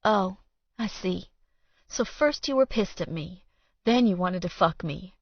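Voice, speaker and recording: A woman's voice: lowish voice, lively intonation, measured pace, dry recording, lo-fi sound. A woman narrator voicing a hurt, angry young woman with a quavering, accusing delivery in an audiobook romance. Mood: bitter, hurt. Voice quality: wobbly, quavering. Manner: emotional, accusing, crying out. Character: hurt, angry young woman confronting her lying lover.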